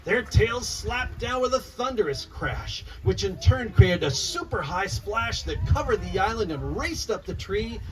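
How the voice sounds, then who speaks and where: A man reading a rhyming picture book aloud to young listeners in an expressive, animated storytelling style. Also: on the high side. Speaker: children's author reading aloud. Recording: noisy, distant mic, live room ambience.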